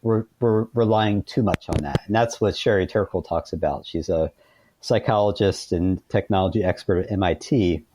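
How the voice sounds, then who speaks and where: A man's voice: low voice, moderate pace, warm tone, rough recording. A man explaining things conversationally as an interview guest, hesitantly, often repeating words and saying "you know". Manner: hesitant, with frequent 'you know' and repeated words.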